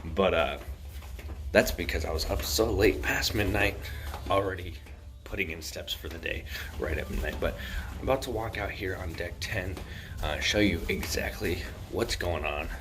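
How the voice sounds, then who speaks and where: A man with a raspy voice chatting casually and informally to his viewers as a vlogger. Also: low voice, normal speed. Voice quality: raspy morning voice. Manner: addresses viewers directly, informal. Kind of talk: casual vlog chat to camera. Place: aboard a cruise ship. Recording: clear background noise, ship ambience.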